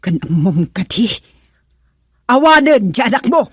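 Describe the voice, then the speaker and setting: A woman's voice: fairly high pitch, sing-song, a little slow, breathy edge, dry recording, studio quality, heavily acted. An actress in an Ilocano radio drama playing an aggrieved mother and quarrelsome wife, accusing and scolding. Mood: angry, accusing.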